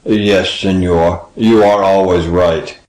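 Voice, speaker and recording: An actor playing a timid, servile old tavern keeper, humble and quavering, in a dramatised audiobook. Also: male voice, sits low, fairly even intonation, takes it slowly, a little mellow, echoey room, rough recording. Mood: timorous, servile.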